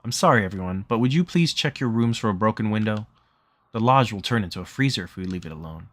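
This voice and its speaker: A polite, concerned lodge owner from a visual novel, read by a man. His voice sits on the low side and stays plain and courteous. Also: expressive, quick pace, a bit bright. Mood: apologetic.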